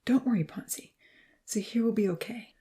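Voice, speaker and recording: A woman voicing a loyal, compassionate male friend with a gentle, earnest and firm manner. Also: a little high, moderate pace, voice pushed up, lightly acted. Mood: soothing.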